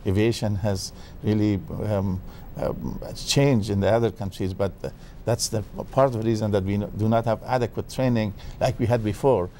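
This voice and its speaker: A man from Afghanistan, a guest expert in a TV interview, speaking haltingly with many fillers and restarts. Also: fairly low pitch, steady tone, dark tone, slight background noise.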